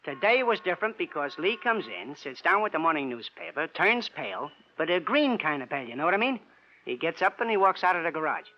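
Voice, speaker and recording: Rambling, streetwise New York mechanic, high-pitched, for vintage radio crime drama, dull recording. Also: male voice, big pitch swings, fairly quick. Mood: chatty. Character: talkative, sly New York garage mechanic.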